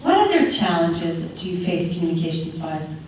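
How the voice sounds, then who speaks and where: A woman presenting at a conference workshop with a loud voice. She takes audience questions and laughs often. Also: on the low side, very animated, moderate pace. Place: conference room. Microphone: speaking without a mic. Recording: echoey room, far from mic, noisy.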